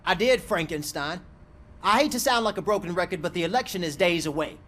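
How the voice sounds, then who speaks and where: An actor playing a scheming, pushy city mayor in a video game, blunt and wheedling. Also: male voice, high voice, fairly quick, bright, forceful tone. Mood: pushy, pleading. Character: pushy, scheming male city mayor seeking election votes. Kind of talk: video game cutscene dialogue.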